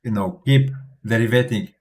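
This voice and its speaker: A man's voice: mid-range voice, moderate pace. A man from Poland with a Polish accent, talking casually to camera as a crypto YouTuber, chatty and self-assured, often restarting his sentences with small laughs.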